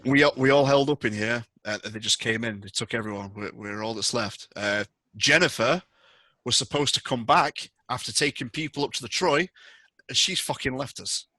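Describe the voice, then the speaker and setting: Man acting out burly game survivor, blunt, exasperated, forceful bright voice, dry close sound. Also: medium pitch, normal speed, no echo. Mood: shaken, then resentful. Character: burly male colony survivor, bitter and alarmed.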